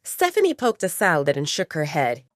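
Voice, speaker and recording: A woman's voice: low voice, wildly swooping pitch, moderate pace, no echo, studio quality. A woman narrating a scripted audio drama as a storyteller.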